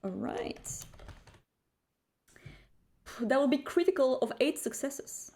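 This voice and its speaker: A woman's voice: high-pitched, lively intonation, takes it slowly, darkish tone. A woman playing in a tabletop roleplaying game, talking casually and improvising her character's lines.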